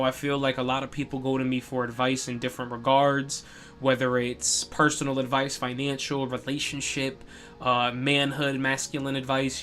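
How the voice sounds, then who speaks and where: A young man hosts a live gaming stream in a loose, conversational way. He talks at an easy pace, full of casual fillers, with a voice in the middle of the male range. Faint music plays softly behind him. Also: medium pitch. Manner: laid-back, chatty, frequent "you know" fillers. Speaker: streamer and podcast host.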